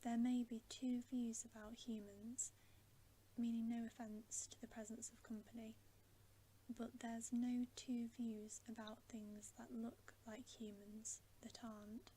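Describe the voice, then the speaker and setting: A stern, loyal talking beaver from a storybook , put on by a woman. He speaks in a slow, earnest hush, stressing his words, with a soft mellow tone. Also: a little high, flat delivery, slight background noise, close to an everyday voice, poor audio. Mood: grave, warning. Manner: emphatic, earnest, hushed. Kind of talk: whispered storybook character reading.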